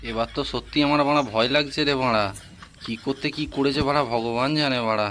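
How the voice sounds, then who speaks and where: A man's voice: medium pitch, fairly even intonation, fast, a bit bright, a little hiss or hum, close to an everyday voice. A man voicing a crude, suspicious young club mate in a comic cartoon. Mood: uneasy. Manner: swearing, suspicious.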